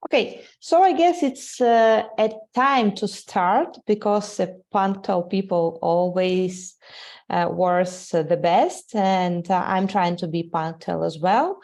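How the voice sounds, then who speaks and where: Woman, Ukrainian accent, webinar host, lowish voice, gravelly fry, halting speech with fillers, video call mic. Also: a little mellow. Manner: halting, many "uh" fillers, non-native grammar. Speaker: webinar host, sales executive. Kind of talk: live webinar presentation.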